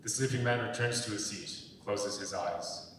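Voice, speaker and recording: A man narrates stage directions for a dramatic reading. His voice is on the low side and comes from a distance, with heavy echo and faint noise in a large hall. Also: normal speed, a bit bright. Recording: echoey hall, far from mic, faint noise.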